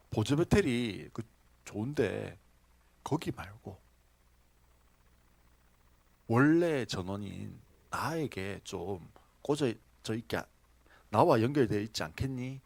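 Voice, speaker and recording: Male pastor, sermon delivery, animated pitch swings, dry close sound, chatty questions to the pews. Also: moderate pace, gravelly, breathy edge, dry recording. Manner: storytelling with questions to the congregation, laughing asides. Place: church sanctuary.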